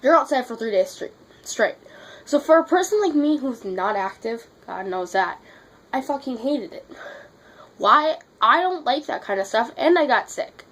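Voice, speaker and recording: A woman's voice: fairly high pitch, very animated, moderate pace, fairly bright tone, poor audio. A teenage girl vlogging, sick and losing her voice with a runny nose, telling a story in a blunt, exasperated way and swearing freely. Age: teens. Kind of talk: casual storytelling vlog.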